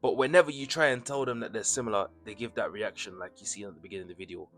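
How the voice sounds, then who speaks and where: A man's voice: on the low side, fairly even intonation, racing, a bit bright, soft background music. Easygoing young man vlogging. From Kenyan and Jamaican family. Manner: conversational, frequent tag questions. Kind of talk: casual commentary to camera.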